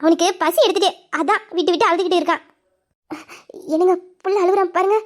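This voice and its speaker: A woman portrays a loving young wife in a family audio play. The voice is very high and girlish, moving briskly with a soft, affectionate manner. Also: steady tone. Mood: tender. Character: gentle, loving young wife and new mother. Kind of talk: melodramatic Tamil audio drama.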